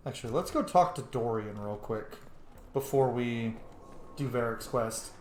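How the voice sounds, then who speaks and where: A man's voice: mid-range voice, unhurried. Male gameplay streamer, loose and rambling, swears freely, roomy sound with some noise behind. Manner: rambling, profane. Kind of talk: casual gameplay commentary.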